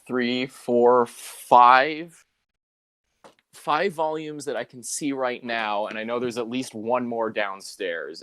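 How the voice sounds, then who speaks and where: A young man hosting a casual, unscripted podcast, rambling a little as he thinks out loud. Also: mid-range voice, no echo. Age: young adult. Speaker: podcast host.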